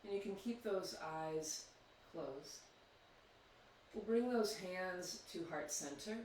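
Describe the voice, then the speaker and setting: Echoey, distant calm yoga teacher man. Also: sits high, slight background noise. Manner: slow, soothing guidance with long pauses. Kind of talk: guided yoga meditation.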